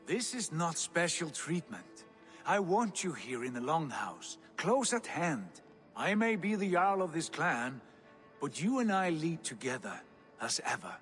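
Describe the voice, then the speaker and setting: Commanding Viking jarl, lively pitch. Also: male voice, unhurried, soft background music. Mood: firm. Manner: firm, authoritative, curt. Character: commanding Viking jarl, proud and guarded leader. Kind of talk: dramatic video game cutscene acting.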